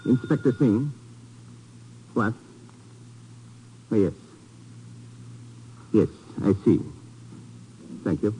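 An actor playing a courtly, philosophical Indian police inspector with a formal, poetic manner in a 1950s radio drama. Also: male voice, lowish voice, animated, extremely slow, long pauses, dark tone, dull audio. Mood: grave. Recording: hissy old radio recording.

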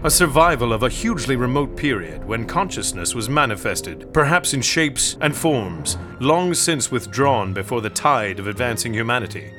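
An actor playing a grave, formal old-time radio announcer introducing a drama in a declamatory style. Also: male voice, medium pitch, animated, normal speed, hardly any pauses, close to an everyday voice. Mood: grave, portentous. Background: music.

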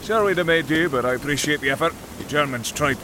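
An actor playing a breezy Scottish ship captain who talks in a brisk, jokey way. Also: male voice, fairly high pitch, noisy background, close to an everyday voice. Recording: rain behind.

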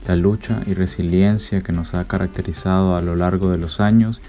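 A man's voice: sits low, steady tone, normal speed, warm tone, lo-fi sound, faint music behind. A man with a Salvadoran accent giving a prepared personal statement.

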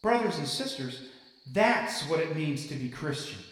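An actor playing a fervent, insistent church preacher who sermonizes with emphatic rhetorical questions. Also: male voice, on the high side, sing-song, moderate pace, a bit bright. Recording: echoey hall, distant from the mic.

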